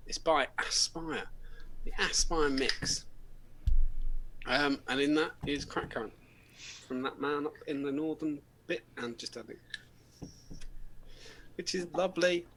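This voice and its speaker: A man's voice: on the high side, animated, a little slow, long pauses, fairly bright tone, slight background noise, rough recording. Jokey British man co-hosting a vape show. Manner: banter, jokey, laughs often. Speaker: co-host. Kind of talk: casual chat.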